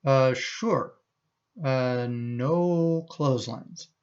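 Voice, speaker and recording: A woman's voice: extremely low pitch, drawn-out words, darkish tone, clear, resonant tone, soft background music, exaggerated voice. A woman playing a harried middle-aged husband and father in a radio play, in a hesitant, easily exasperated manner. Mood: placating, hesitant. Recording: dull, muffled recording.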